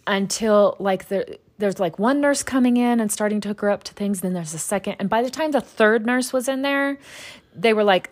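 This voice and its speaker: A woman with a slightly high voice tells a long story in easy conversation. Her humor is dry and deadpan, and she keeps going through a steady stream of ums and uhs. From Utah, US. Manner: wry, deadpan storytelling with fillers.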